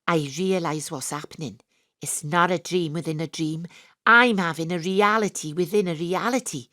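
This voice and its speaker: An actress playing an eccentric female psychic sleuth, narrating in a deadpan, earnest way. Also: on the low side, normal speed, dry recording, clean studio sound. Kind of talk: comic first-person narration. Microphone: home studio microphone.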